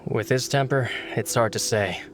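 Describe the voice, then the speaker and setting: A man's voice: mid-range voice, normal speed, music in the background, natural voice. A man voicing a fretful, weary servant in a video game. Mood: fretful, exasperated.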